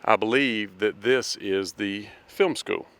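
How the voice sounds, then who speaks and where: A man's voice: lowish voice, slow pace, a bit bright, smooth. A middle-aged man giving a casual walking-tour vlog outdoors in a city square, chatting to his viewers as friends. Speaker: travel vlogger. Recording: noisy outdoor street recording.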